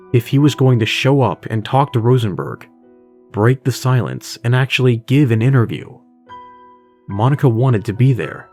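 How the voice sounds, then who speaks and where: A man narrates a scripted horror story in a medium-pitched voice. He reads as the storyteller of an audio drama. Music plays softly behind him. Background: music bed with guitar.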